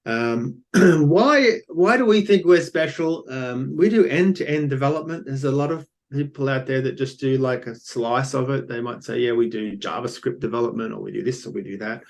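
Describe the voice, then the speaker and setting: An older man with an Australian accent talks an event audience through his engineering firm. His voice sits at an ordinary middle pitch, and he explains loosely, full of ums and restarted phrases. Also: normal speed, few pauses. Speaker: company founder pitching. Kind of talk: business pitch presentation.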